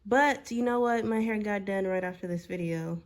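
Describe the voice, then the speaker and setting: A woman's voice: mid-range voice, moderate pace. A 22-year-old woman talking casually about herself in a get-to-know-me vlog while doing her makeup, with lots of "like" and "girl". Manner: chatty, filler-heavy, says "like" and "girl" a lot. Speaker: vlogger.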